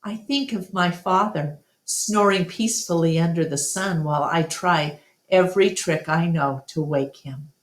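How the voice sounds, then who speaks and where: A woman reading a storybook aloud as narrator, deliberate and expressive. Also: on the low side. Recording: echoey, reverberant room.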